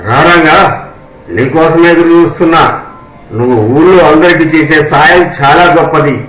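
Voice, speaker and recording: A man's voice: a little high, fairly even intonation, normal speed, a bit bright, soft background music, close to an everyday voice. Echoey, cunning rich miser played by a man. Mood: wheedling flattery. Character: wealthy miserly village landowner, cunning and flattering. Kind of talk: scripted moral story dialogue. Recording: roomy echo on the voice.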